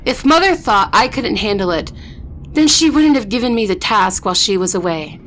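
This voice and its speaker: A woman's voice: mid-range voice, animated, a bit bright, a little hiss or hum, lightly acted. A woman playing an arrogant, ruthless vampire princess in an audio roleplay, speaking in a haughty, threatening way. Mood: cold, threatening. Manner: haughty, threatening, slow deliberate delivery. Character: arrogant vampire princess, ruthless eldest sister, war-hungry.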